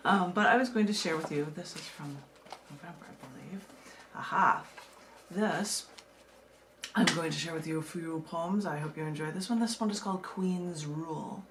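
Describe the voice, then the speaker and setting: A woman's voice: deep voice, moderate pace, a bit bright. Woman reading poetry over background noise. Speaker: poet reading own work. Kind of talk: poetry reading with casual asides.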